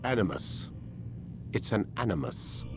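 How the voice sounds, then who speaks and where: An actor playing a cold, condescending older scientist in a video game, speaking in a formal, pedantic way. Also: male voice, on the low side, big pitch swings, unhurried, soft background music. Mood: cold, threatening. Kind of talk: dramatic video game acting.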